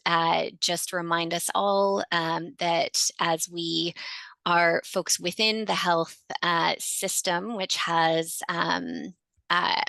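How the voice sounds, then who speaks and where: A woman hosting a webinar, giving opening remarks in a slow, halting way with many fillers. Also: lowish voice, creaky, bright, forceful tone, no echo. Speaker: webinar host.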